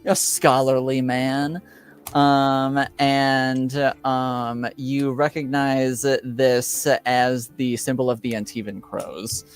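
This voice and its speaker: A man improvising narration as game master of a tabletop roleplaying game, halting and searching for words. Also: medium pitch, flat delivery, clean tone, soft background music.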